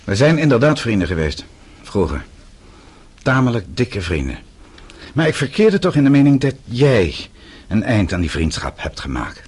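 An actor playing a bitter, defiant middle-aged exile in a radio play, sardonic and accusing. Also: male voice, medium pitch, huge pitch swings, normal speed, a little mellow, breathy edge. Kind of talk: Dutch radio play acting.

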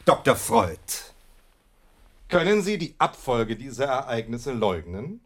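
Brilliant obsessive detective, radio drama, really animated, precise and theatrical, mid pitched male voice. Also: a little slow. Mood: relentless interrogation. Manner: theatrical, emphatic, precise, formal. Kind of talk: German radio drama acting.

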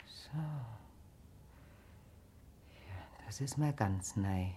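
Weary middle-aged country wife, low voice, very slow and halting, bitter yet proud, radio drama. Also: female voice, expressive, mellow, slight background noise, heavily acted. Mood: shaken, weary. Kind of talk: naturalistic German radio drama acting.

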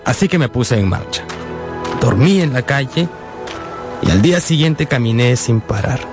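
A man's voice: medium pitch, animated, moderate pace, lightly acted. An actor playing an earnest, sentimental grown man narrating his boyhood in a radio drama. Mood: reflective. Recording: music behind the voice.

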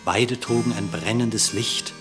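A man narrating a literary verse tale for a radio drama, in a measured, solemn storytelling manner. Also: fairly low pitch. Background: plucked guitar music.

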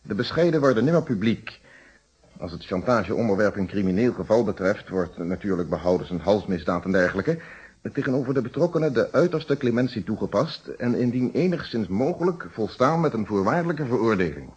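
An actor playing a calm, principled middle-aged police inspector in a Dutch radio detective play, speaking in formal, articulate explanations. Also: male voice, on the low side, brisk, slightly rough. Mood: firm, earnest. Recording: dull, muffled old radio recording.

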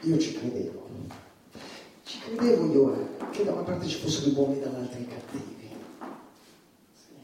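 An actor playing a provocative, agitated stand-up satirist on trial, delivering an emphatic theatrical monologue that swings between muttering and shouting. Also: male voice, mid-range voice, expressive, moderate pace, pauses often, background noise, natural voice. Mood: bitter, reflective. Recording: echoey, distant stage recording with music.

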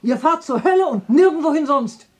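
A woman's voice: high voice, animated, brisk. An actress playing an earthy, mocking, pious servant woman in a radio drama, scolding and down-to-earth. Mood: suspicious, scolding. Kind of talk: German radio drama acting.

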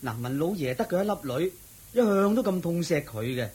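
An actor playing a harried, sympathetic neighbour in a Cantonese radio drama, confiding with sighs in a husky Hong Kong Cantonese voice. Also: male voice, fairly high pitch, a little fast. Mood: pitying, confiding. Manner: sighing, confiding, expressive. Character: harried, gossipy, sympathetic village neighbour man.

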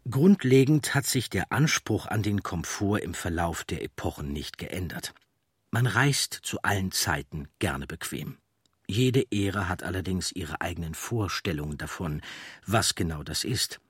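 Male audiobook narrator, low husky voice, explanatory documentary read. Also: expressive, measured pace, no room sound, studio quality.

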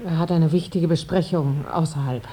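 An actress playing a farmer's curious, matter-of-fact wife with a brisk, questioning manner in a 1950s German radio play. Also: female voice, low voice, steady tone, dark tone, husky.

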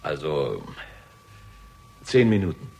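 An actor playing a gruff, reluctant police inspector, terse and official, in a 1960s German radio crime drama. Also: male voice, a bass voice, sing-song, slow, darkish tone, heavily acted. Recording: faintly noisy 1960s radio recording.

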